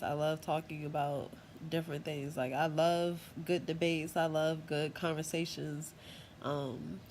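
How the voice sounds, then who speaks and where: A woman's voice: deep voice, a little mellow, slight background noise. Stuffy-nosed young woman chatting to camera. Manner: rambling, chatty, full of fillers. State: congested from a cold. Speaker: channel host. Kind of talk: casual vlog monologue. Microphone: tablet microphone.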